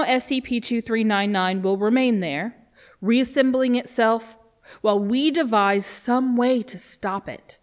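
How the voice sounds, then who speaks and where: A woman playing a grave research official, delivering a solemn, measured dramatic briefing. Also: fairly high pitch, dull audio. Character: grave female research official briefing on a doomsday alien threat. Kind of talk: dramatic scripted monologue.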